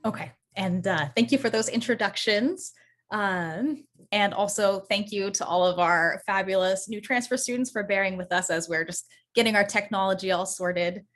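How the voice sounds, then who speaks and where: A woman's voice: mid-range voice, normal speed, a bit bright. A woman advisor hosting a webinar over a video call, welcoming and organized as she hands off to each speaker.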